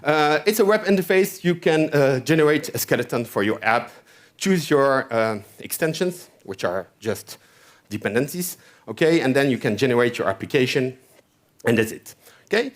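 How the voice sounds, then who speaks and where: A man's voice: mid-range voice, animated, moderate pace. A man with a French accent giving a chatty conference tech talk, often checking in with the audience with "okay?". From France. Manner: chatty, keeps asking "okay?". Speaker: conference speaker. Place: conference hall stage.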